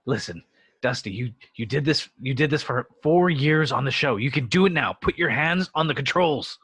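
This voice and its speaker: A man's voice: medium pitch, moderate pace, a bit bright, breathy edge, dry recording. A man playing a brash, commanding heroic leading man in a table read, urgent and earnest in his delivery. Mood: urgent encouragement.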